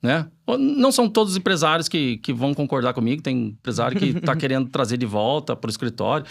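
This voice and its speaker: A man's voice: medium pitch, expressive, fast talker, gravelly. A man with a caipira accent from the São Paulo interior, a trainer guest speaking earnestly with many stammered restarts. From São Paulo state interior, Brazil. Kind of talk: casual interview chat.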